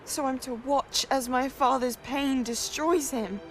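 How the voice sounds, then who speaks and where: A woman's voice: high voice, steady tone, measured pace. An actress playing a proud, determined young witch in a game cutscene, speaking formally. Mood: composed. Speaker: game character. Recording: clear music behind.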